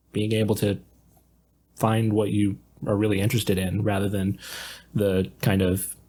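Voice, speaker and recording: A man's voice: lowish voice, warm tone, breathy edge. A man talking casually as a co-host in a podcast discussion.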